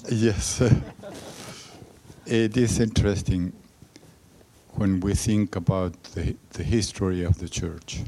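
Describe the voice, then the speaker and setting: An older man from Chile, speaking on a church panel in a measured way. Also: low voice, steady tone, warm tone. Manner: slow and measured, with small restarts. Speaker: retired church administrator, panelist.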